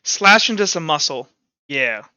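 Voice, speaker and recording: A man's voice: fairly high pitch, animated, unhurried, sharp tone, clean tone, dry recording, highs cut off. A man acting as game master, mixing casual rules talk with informal, vivid narration of combat in a tabletop roleplaying game.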